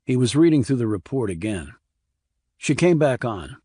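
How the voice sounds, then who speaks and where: A man reading a scripted crime thriller as an audiobook narrator, measured and restrained. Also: medium pitch, big pitch swings, mellow, slightly rough, no echo, studio quality.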